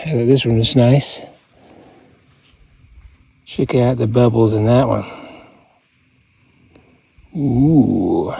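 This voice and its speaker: A man vlogging casually outdoors, showing finds in short, laid-back muttered fragments with a gravelly, husky voice. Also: mid-range voice, dark tone, slight background noise. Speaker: rockhounding vlogger. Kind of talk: casual outdoor show-and-tell. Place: outdoors on open hilly ground.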